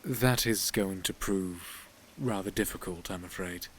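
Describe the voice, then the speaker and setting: A prim, mysterious man in an audio drama. His voice sits fairly low, and he speaks in formal, measured phrases, polite yet evasive. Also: a little hiss or hum. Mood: grave, hesitant. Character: Caleb, prim, mysterious male business partner.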